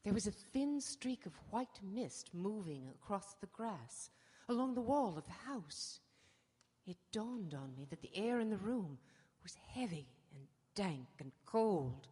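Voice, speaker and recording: In an echoing hall, a troubled young woman in a radio horror play. The voice is breathy and slow, hushed and dreamlike with suspense. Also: mid-range voice, big pitch swings. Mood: eerie dread. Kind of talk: dramatic radio play narration and acting. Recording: echoey hall with long reverb.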